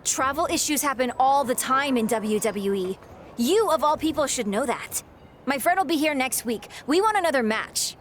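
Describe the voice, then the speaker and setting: A woman's voice: sits high, expressive, normal speed, a little hiss or hum. An actress playing an eager, boastful young female wrestler in a video game cutscene, chatty and upbeat with a defiant edge. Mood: upbeat, defensive.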